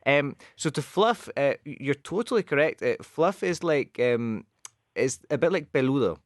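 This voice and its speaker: A man from the west of Scotland with a clear Glasgow accent talks through football idioms at a patient, teacherly pace. His voice sits at an ordinary pitch for a man. The sound is close and dry, with no room echo at all. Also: expressive. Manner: patient, explains slowly, mixes in Spanish. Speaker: radio show co-host teaching English. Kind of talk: bilingual radio chat, explaining football idioms.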